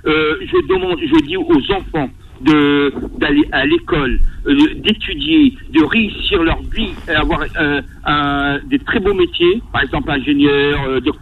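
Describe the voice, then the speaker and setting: Male radio caller, high gravelly voice, hesitant, tinny distant phone line. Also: very animated, a little slow, hardly any pauses. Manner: hesitant, many fillers and restarts. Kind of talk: call-in discussion.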